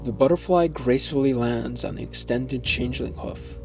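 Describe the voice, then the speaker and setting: A man narrating a scripted fantasy story in a measured, descriptive way. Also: medium pitch, soft background music.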